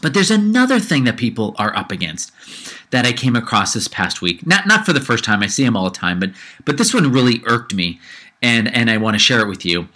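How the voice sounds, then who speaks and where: Podcast guy with a cold, bright punchy voice, ranting and heated. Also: mid-range voice, sing-song, brisk. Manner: fired-up, ranting, repeats himself, mocking voices of ads. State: low-grade cold. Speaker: podcast host. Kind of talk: casual solo podcast rant.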